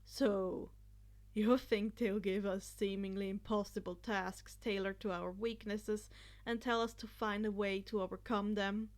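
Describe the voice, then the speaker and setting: A woman's voice: medium pitch, fairly even intonation, a little slow, voice pushed down, natural voice. A woman voicing a dry, sceptical teenage boy in an audiobook story. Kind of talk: acted fan fiction audiobook dialogue.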